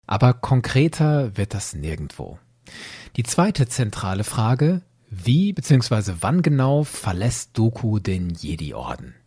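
A man's voice: lowish voice, sing-song, measured pace, dark tone, slightly rough. A man hosting a podcast, explaining things to listeners in an emphatic, conversational and orderly way. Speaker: podcast host. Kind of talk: explanatory solo podcast narration, addressing listeners directly.

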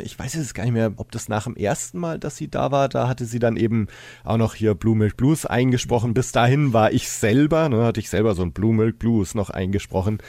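A man's voice: fairly low pitch, darkish tone, dry recording. A man chatting casually as a podcast host. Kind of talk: casual chat.

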